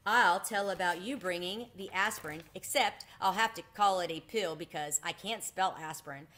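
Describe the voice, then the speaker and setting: A woman's voice: fairly low pitch, normal speed, a bit bright, natural voice. A woman voicing a friendly, generous schoolboy in an earnest, coaxing way for a children's storybook. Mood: glad.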